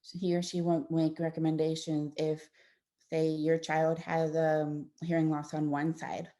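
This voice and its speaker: A woman's voice: low-pitched, completely flat delivery, measured pace. Woman audiologist giving a casual slide talk. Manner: conversational, warm explanations with frequent fillers and restarts. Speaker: audiologist presenting to parents. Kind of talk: informal presentation with slides.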